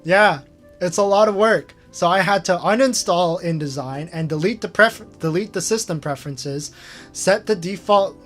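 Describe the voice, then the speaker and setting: A man's voice: sits high, moderate pace, a bit bright, soft background music. A man hosting a casual screen-share retrospective, rambling with lots of fillers and chuckling at himself. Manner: rambling, filler-heavy, self-amused. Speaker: channel host.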